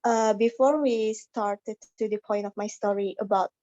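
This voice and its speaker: A woman's voice: mid-range voice, steady tone, moderate pace, warm tone, dry recording. A woman with an Indonesian accent whose first language is Indonesian gives an earnest class speech over a phone on a video call. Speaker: student speaker. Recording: dry, dull phone call audio.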